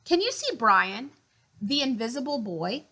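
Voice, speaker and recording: A woman reading a children's picture book aloud in an expressive, deliberate storytelling style. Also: on the high side, slight background noise.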